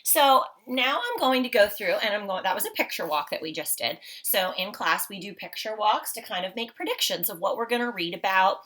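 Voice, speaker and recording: A woman's voice: mid-range voice, lively intonation, fairly quick, few pauses, fairly bright tone, rough recording. Woman teacher leading a picture-book read-aloud. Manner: encouraging, simple child-directed talk with frequent questions. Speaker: teacher reading aloud to children. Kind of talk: read-aloud picture walk, explaining and questioning.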